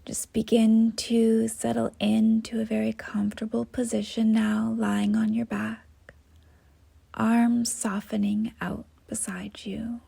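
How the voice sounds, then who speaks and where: Soft, gravelly, very slow woman meditation guide. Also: a little high, little pitch movement. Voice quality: soft, breathy, half-whispered. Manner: gentle, unhurried, with long pauses. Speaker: yoga nidra guide.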